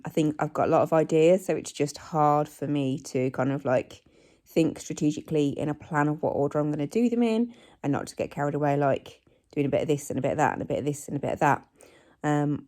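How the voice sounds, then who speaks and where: A woman in her forties, hoarse from a cold, chatting casually in a home vlog recorded on her phone, rambling with plenty of ums and likes. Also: deep voice, dark tone. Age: 40s. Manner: rambling, self-deprecating, many ums and likes. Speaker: vlogger. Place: living room at home. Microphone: phone camera.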